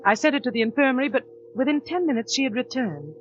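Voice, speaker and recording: A woman's voice: sits high, expressive, fast. An actress playing an earnest, easily indignant young woman teacher who tells her story in 1940s radio style. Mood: reflective, indignant. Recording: dull restored 1940s radio recording, music behind.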